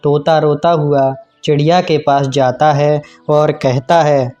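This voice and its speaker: धीमी रफ़्तार वाला पुरुष कहानीवाचक. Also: male voice, on the high side, little pitch movement, slow pace, warm tone, lo-fi sound, faint music behind. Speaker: narrator. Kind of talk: scripted children's story narration.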